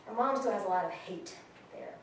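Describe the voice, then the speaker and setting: An actress playing an earnest woman, delivering an emphatic, deliberate monologue in a staged reading. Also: medium pitch, big pitch swings, close to an everyday voice. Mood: earnest, saddened. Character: earnest woman moved by a friend's story. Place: stage in a reverberant room, recorded from afar. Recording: very echoey hall, far mic, faint noise.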